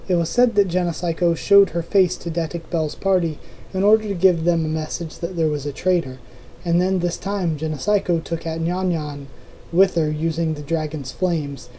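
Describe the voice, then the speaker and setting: A hoarse host reading a novel aloud in a casual way, often restarting and correcting lines and adding quick asides. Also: mid-range voice, steady tone, mellow, slight background noise, rough recording.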